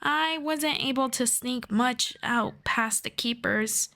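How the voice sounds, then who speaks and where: A woman playing a gentle, earnest elf friend in a soft-spoken, measured ASMR fantasy roleplay. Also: a little high, full character voice. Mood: calm, confiding. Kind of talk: slow scripted ASMR roleplay.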